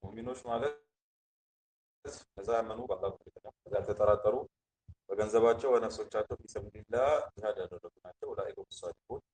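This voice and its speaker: A man teaching an Islamic creed class. He reads short Arabic phrases from a text, explains them slowly, and checks on his listeners. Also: lowish voice, steady tone, a little mellow, low-quality recording. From Ethiopia. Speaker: religious teacher.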